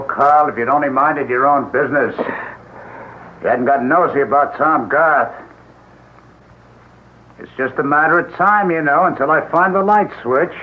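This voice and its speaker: An actor playing a deranged man threatening people with a gun, calm and menacing, in a radio drama. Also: a little high, natural voice. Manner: cold, calmly threatening. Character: menacing deranged male killer, middle-aged. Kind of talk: 1970s radio drama acting. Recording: tinny lo-fi old radio broadcast.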